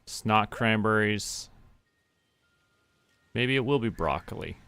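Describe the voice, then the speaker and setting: Low-voiced man, relaxed game streamer. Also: slow, smooth, no room sound, faint music behind. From Canada. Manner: relaxed, thinking aloud. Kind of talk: casual farming game stream commentary.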